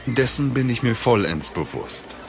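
A man's voice: mid-range voice, lively intonation, measured pace, music in the background. A man playing a composed young mage in an audio drama, speaking formally and politely. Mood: suave.

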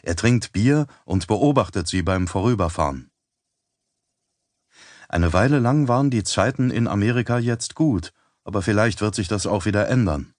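A man reading an audiobook as narrator in a measured, even storytelling manner on a close studio mic. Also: lowish voice, no room sound. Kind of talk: scripted narration.

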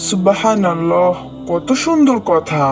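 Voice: medium pitch, lively intonation, measured pace, soft background music, close to an everyday voice. A performer voicing a curious, devout young son in a children's cartoon, earnest and full of wonder. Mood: curious, hopeful. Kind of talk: children's moral cartoon dialogue.

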